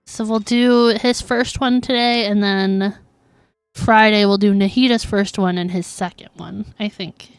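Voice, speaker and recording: A woman's voice: medium pitch, steady tone, unhurried, clear, resonant tone, dry recording. A woman livestreaming a game, chatting casually and informally with her viewers. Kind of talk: casual chat while streaming gameplay.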